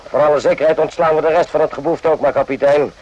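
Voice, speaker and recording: Guy doing a sarcastic old-hand army sergeant, blunt and scornful, fairly high voice, muffled old radio drama. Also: fairly even intonation, fast, darkish tone, lightly acted. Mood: sardonic. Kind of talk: radio play acting. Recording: dull lo-fi old radio play.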